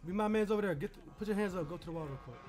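A man's voice: sits high, rapid-fire, darkish tone. A man improvising street roleplay in an online game with brash, slangy talk, often repeating his phrases. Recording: music behind the voice. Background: music.

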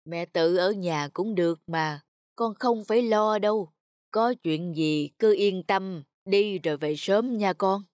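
An actor voicing a gentle, worried elderly peasant mother in an animated folk tale, tender and slow. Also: mid-range voice, no echo, studio quality, natural voice.

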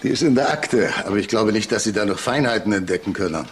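A man's voice: fairly low pitch, expressive, fast talker, natural voice. An actor playing an eager, chatty male police investigator in a naturalistic crime audio drama. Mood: matter-of-fact. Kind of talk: naturalistic German radio drama acting. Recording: clear background noise and ambience.

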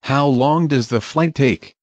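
A man reads short English travel phrases in a measured, plain voice, one at a time for learners. His pitch is ordinary for a man. The audio is dry and a little dull, as if the highs were trimmed. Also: a little mellow, dry recording. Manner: measured, plain, each phrase read alone. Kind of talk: slow clear phrase reading for learners.

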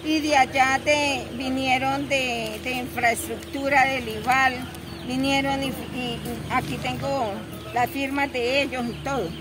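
A woman's voice: high voice, steady tone, unhurried, over music, poor audio. A middle-aged woman from Colombia, a local resident, complaining in a detailed, indignant way during an outdoor street interview. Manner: indignant, detailed, gestures while talking. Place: outdoors on a busy town street. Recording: street noise and traffic behind.

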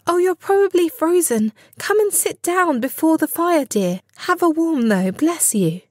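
A man's voice: very high voice, big pitch swings, unhurried, dry recording, studio quality, pitched above the performer's own voice, heavily acted. A man voicing a fussing, affectionate Victorian mother in an audio storybook, bustling and full of exclamations. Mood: fussing, fond.

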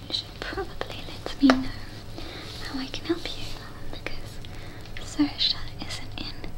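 A young woman playing a patient robot repair technician in a soothing, soft-spoken ASMR roleplay. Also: sits high, low-quality recording. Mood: gentle, concerned. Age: young adult. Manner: soothing, slow, reassuring. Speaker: ASMR performer. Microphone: close microphone.

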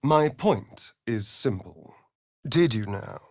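An actor playing a vain, sardonic vampire rogue in a fantasy video game, with an arch, drawling, theatrical delivery. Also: male voice, mid-range voice, lively intonation, slow, darkish tone, dry recording, clean studio sound.

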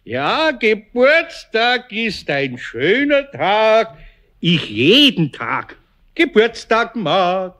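A man's voice: high voice, sing-song, extremely slow, a bit bright, full character voice. A man playing a kindly, grumbling older master craftsman with a Bavarian accent in a children's radio play. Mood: fond, amused.

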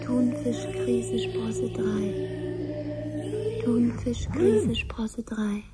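An impassive female computer in a sci-fi radio play. She announces mechanically and extremely slowly, on a raised pitch, over loud music. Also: expressive. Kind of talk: 1970s science fiction radio play.

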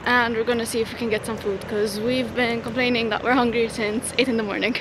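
A woman's voice: fairly high pitch, level tone, fast talker, heavy background noise. A young woman in her twenties, a travel vlogger chatting to a handheld camera as she walks around a city, laughing often as she describes her plans. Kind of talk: casual travel vlog to camera. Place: outdoors in a city, streets and stadium grounds. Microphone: handheld camera at arm's length.

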